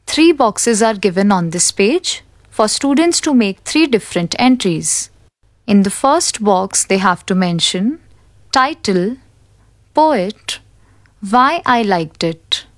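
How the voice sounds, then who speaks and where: Slow, careful woman narrator. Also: medium pitch, lively intonation, no room sound, studio quality. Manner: slow, careful, deliberate reading. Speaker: audiobook narrator. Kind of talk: reading a textbook aloud.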